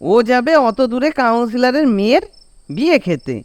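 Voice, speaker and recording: A man's voice: very high voice, very animated, moderate pace, no echo. A man with a Bengali accent voicing a bossy, scolding young village friend in a cartoon, with a nagging, exasperated manner. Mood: mocking. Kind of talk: animated cartoon dialogue.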